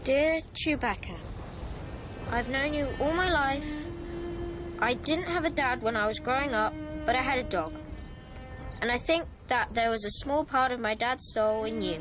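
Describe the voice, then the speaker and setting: A high-pitched young daughter, speaks with frank, simple earnestness in a naturalistic radio play. Music sits behind the voice. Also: female voice. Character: earnest, blunt young daughter mourning the family dog. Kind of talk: naturalistic radio drama acting.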